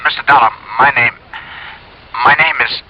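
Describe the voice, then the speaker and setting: An actor playing a timid, frightened informant on the telephone, halting and pleading, in 1950s radio drama. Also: male voice, medium pitch, very noisy, close to an everyday voice. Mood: nervous, hesitant. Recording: dull old radio recording, telephone scene.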